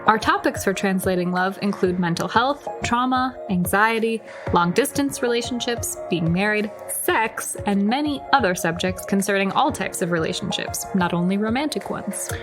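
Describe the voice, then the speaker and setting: A woman's voice: mid-range voice, animated, moderate pace, hardly any pauses. A woman from the United States, co-host of a podcast, reading a scripted promo in an upbeat, announcer-like way. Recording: music bed under the voice.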